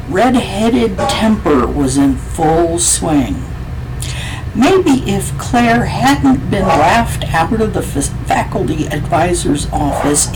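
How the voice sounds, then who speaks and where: A woman author reading a chapter of her own novel aloud in a slow, measured way. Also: mid-range voice, background noise.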